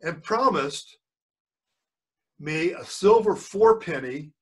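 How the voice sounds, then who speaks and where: An older man reading a storybook aloud as a grandfather would, pausing for chatty asides and questions to young listeners. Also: fairly high pitch, expressive, recorded from a distance. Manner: pauses to quiz the listeners.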